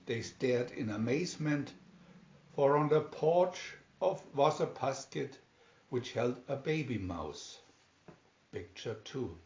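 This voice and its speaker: A man reading a children's story aloud in a deliberate, dramatic storytelling manner. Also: medium pitch, expressive, darkish tone, slight background noise. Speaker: storybook reader. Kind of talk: scripted children's story narration, read aloud. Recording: roomy, slightly dull home recording.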